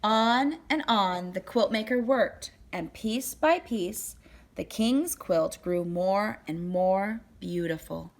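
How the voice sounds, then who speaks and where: A woman reading a children's picture book aloud as narrator, with deliberate, expressive phrasing. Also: mid-range voice. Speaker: storybook reader.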